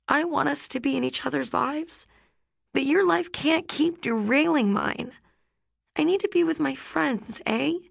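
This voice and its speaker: A woman reading an audiobook, voicing a gentle teenage girl who speaks softly and sounds close to tears. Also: high voice, vocal fry, no echo, pitched above the performer's own voice, exaggerated voice. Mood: bitter, upset. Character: gentle teenage girl, tender but resolute. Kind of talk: audiobook character voice.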